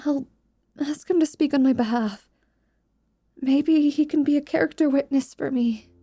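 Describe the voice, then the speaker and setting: A woman's voice: high-pitched, moderate pace, mellow. A woman playing an anxious, deferential young magic student, earnest and hesitant, in a fantasy audio roleplay. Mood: distressed, guilty.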